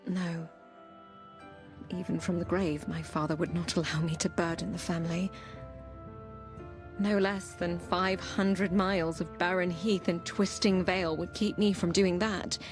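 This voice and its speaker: A woman playing a blind young princess in an audio game, wry and bitter yet vulnerable, with measured delivery. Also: on the low side, little pitch movement, over music, lightly acted. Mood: somber, wry. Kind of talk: dramatic audio game narration and dialogue.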